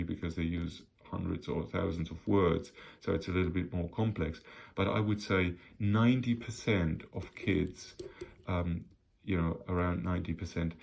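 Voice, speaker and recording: A man's voice: fairly low pitch, gravelly, darkish tone. A man giving an unscripted explanatory monologue with advice for parents, earnest and lecturing, correcting himself often as he thinks aloud.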